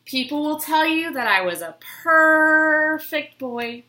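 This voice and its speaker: A woman reads a children's book aloud in a theatrical, expressive way. Her voice is bright and swoops widely, taking its time over each phrase in a roomy, echoing space. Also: high voice, slow. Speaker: storybook reader. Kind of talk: dramatic children's book reading. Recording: roomy, echoing room sound.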